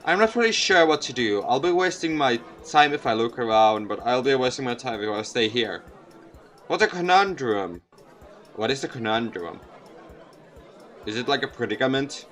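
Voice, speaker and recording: A man's voice: mid-range voice, very animated, moderate pace, fairly bright tone, a faint crowd. A man reading a visual novel aloud as a let's player, stumbling over words and adding casual asides over background music. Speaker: video game let's player.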